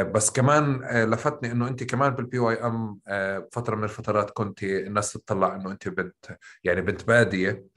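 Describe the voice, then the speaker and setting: A man's voice: fairly low pitch, a bit bright. A man with a rural Palestinian accent from Tulkarm, interviewing a guest in a thoughtful way, often searching for his words. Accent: Palestinian, Tulkarm rural with qaf. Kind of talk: conversational interview.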